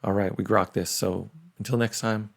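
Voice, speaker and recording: A man's voice: fairly low pitch, steady tone, moderate pace, creaky. A middle-aged man, hosting a tech series with casual, unscripted demo commentary. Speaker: host of a tech tutorial series.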